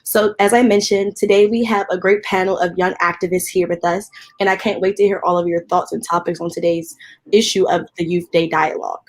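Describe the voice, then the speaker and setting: A woman's voice: on the low side, fairly even intonation, fairly quick. Young woman moderator, friendly, reads prepared remarks. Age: young adult. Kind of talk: hosting a video-call panel.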